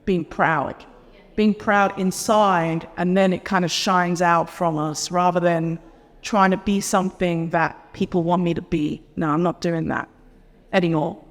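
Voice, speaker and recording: A woman's voice: on the low side, steady tone, measured pace. A woman panelist talking casually and earnestly, with many "like" and "you know" fillers. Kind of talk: panel discussion answer. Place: conference hall. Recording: long hall reverb.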